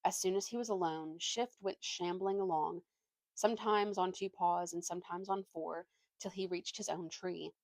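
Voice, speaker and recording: A woman reading a story aloud with expressive narration and an informal manner, now and then stumbling over a word. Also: on the low side, normal speed, no echo. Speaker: storybook reader.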